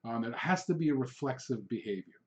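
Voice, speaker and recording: A man's voice: mid-range voice, expressive, a little fast. Hungarian-native man, rambling podcast host. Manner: loose, rambling coaching talk with frequent "um" and "all right?". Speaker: podcast host, real estate coach. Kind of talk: solo podcast monologue. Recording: dull lo-fi recording.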